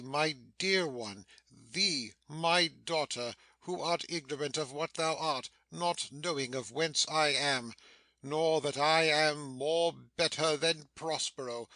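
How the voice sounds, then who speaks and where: A man playing a commanding, protective older sorcerer father, reading verse drama in a measured, solemn way. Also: a little high, sharp tone, no echo.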